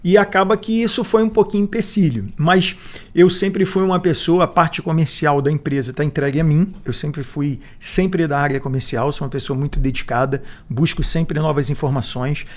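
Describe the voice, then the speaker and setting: A man's voice: a little high, fairly even intonation, normal speed. A man in his forties with a Brazilian accent, a podcast guest who talks reflectively and repeats words as he gathers his thoughts. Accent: Brazilian Portuguese. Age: 40s. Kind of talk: podcast interview.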